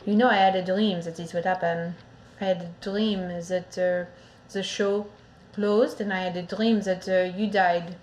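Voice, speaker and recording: Audio drama: actress plays a brusque, tender foreign stagehand woman, anxious, hesitant broken English, flat and low-toned, roomy sound. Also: measured pace, a little hiss or hum, lightly acted. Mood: hesitant, sad.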